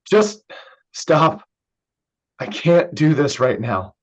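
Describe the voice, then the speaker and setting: A dull, lo-fi recording of an actor playing a sardonic young man in a contemporary stage drama. He is fairly high-voiced and slow, blunt and hesitant, with a wounded edge. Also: sing-song, full character voice. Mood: bitter, wounded. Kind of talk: staged reading of a contemporary play.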